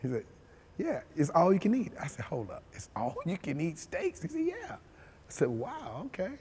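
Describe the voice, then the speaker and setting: A man's voice: fairly high pitch, moderate pace, slightly rough, in a large hall. A man, telling his life story in a sit-down interview, easygoing and chuckling, full of "you know". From Mississippi, US. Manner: relaxed, chuckling, many you-knows. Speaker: former football player. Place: studio with dark backdrop.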